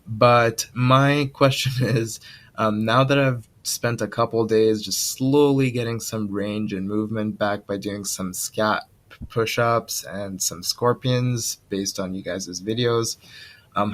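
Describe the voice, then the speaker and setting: An eager man rambles through his question with frequent ums, his voice sitting a little low. He sounds keen to get back to training. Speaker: caller. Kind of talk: recorded voice question.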